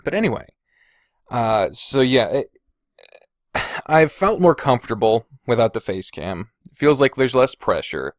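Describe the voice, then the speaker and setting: Male podcast host, middle register with animated inflection; loose, digressive, self-mocking chat full of swearing, dry USB-mic recording. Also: mid-range voice, measured pace, long pauses, dry recording. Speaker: podcast host and video creator. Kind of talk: casual rambling solo podcast chat. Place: indoors in a bedroom. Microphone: Blue Snowball omnidirectional USB microphone.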